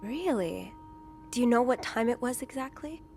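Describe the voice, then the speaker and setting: Earnest young hotel maid. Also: female voice, on the high side, animated, moderate pace, over music. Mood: pensive, curious. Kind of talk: video game dialogue acting.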